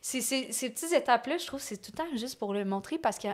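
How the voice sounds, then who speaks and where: A young adult woman with a Québécois French accent, a comedian guest talking in a casual podcast chat, quick and chatty with lots of fillers. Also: on the high side, animated.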